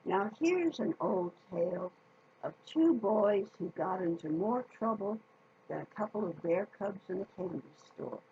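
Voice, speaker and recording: A woman reading a children's story aloud in a folksy, deliberate manner, speaking directly to her listeners. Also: mid-range voice, animated, slightly rough, muffled recording.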